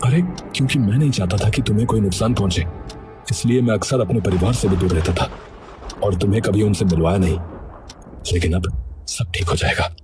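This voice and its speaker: Low-voiced earnest undercover detective played by a man. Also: fairly quick, over music, natural voice. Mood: earnest, explaining. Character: earnest village man, undercover detective posing as bangle seller. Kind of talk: Hindi cartoon story dialogue.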